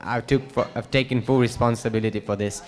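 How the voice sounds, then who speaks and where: A young man from Russia, sincerely recounting his life as an interview guest, halting with fillers. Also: medium pitch, fairly even intonation. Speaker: guest, new monk. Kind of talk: earnest personal account. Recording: long hall reverb.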